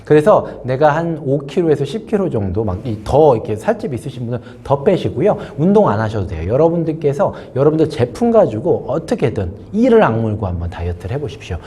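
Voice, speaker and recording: Guy with a cold and a sore throat teaching a business lesson, voice jumps up and down a lot, mellow low tone. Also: fast, few pauses. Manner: lively, emphatic, with many fillers and asides. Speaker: host giving a business lesson. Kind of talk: casual spoken lecture to camera.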